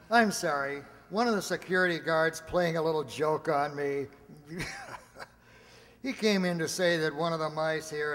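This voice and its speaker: A man's voice: fairly high pitch, a bit bright. A man playing a condescending, skeptical security supervisor in a radio drama, brusque and easily flustered. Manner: brusque, patronizing, flustered stammering. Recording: reverberant hall-like amateur recording.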